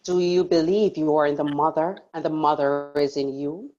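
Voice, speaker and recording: A woman playing a smug, commanding cult leader in a thriller script read, solemn and deliberate. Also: low voice, steady tone, a little mellow, dull audio, pitched below the performer's own voice, close to an everyday voice. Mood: solemn ritual.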